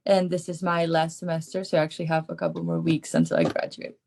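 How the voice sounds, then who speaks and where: A young woman student speaks English with a Spanish accent. Her voice is fairly low, with very little rise and fall. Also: flat delivery, fast talker, gravelly, darkish tone. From Spain. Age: young adult. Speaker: college student.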